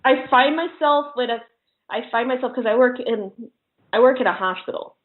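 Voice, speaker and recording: A woman's voice: a little high, moderate pace. Woman, casual interview guest, giggly, anecdotal, muffled phone-like call audio. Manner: giggly, often laughing as she talks. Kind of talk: casual chat, telling personal anecdotes.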